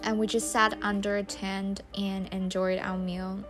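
Slow, soft-spoken young woman narrating. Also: mid-range voice, level tone. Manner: soft, slow and unhurried, non-native phrasing. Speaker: vlogger. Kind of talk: reflective voice-over narration. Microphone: studio voice-over mic. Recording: music bed underneath.

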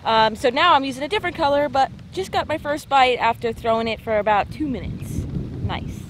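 High-voiced young American woman vlogging outdoors. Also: lively intonation, a little fast, noisy background. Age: young adult. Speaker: fishing show host. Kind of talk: casual fishing vlog narration. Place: outdoors on a small boat on open water.

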